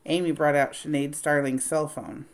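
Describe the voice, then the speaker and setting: A woman's voice: deep voice, fairly even intonation, normal speed. A woman narrating a children's book as a read-aloud.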